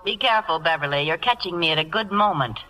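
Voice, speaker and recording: A woman playing a quick-witted, sardonic secretary in an old radio comedy, with a dry, brisk manner. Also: on the low side, animated, pushed and bright. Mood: brisk, wry. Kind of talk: 1940s radio comedy acting. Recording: noisy old radio broadcast.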